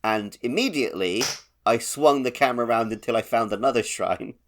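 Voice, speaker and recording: A man's voice: mid-range voice, a little fast. A man co-hosting a gaming podcast, talking casually in an explanatory, analytical way. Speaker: podcast co-host.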